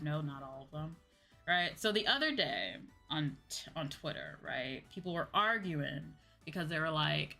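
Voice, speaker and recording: A woman's voice: deep voice, sharp tone. A woman livestreamer chatting casually while she games, talking in a colloquial, slangy and mildly exasperated way. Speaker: VTuber streamer. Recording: music playing behind the voice. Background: background music.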